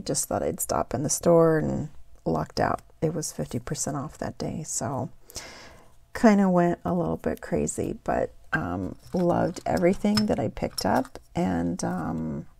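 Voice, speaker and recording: A woman chatting casually as she shows off thrift store finds, her voice getting a little raspy. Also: fairly low pitch, warm tone. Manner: slow, unhurried, chatty with fillers. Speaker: thrift haul vlogger. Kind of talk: casual haul show-and-tell.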